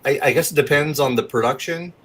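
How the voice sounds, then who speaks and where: A man's voice: a little high, fast, fairly bright tone. A man working as an actor, talking casually and self-deprecatingly as an interview guest.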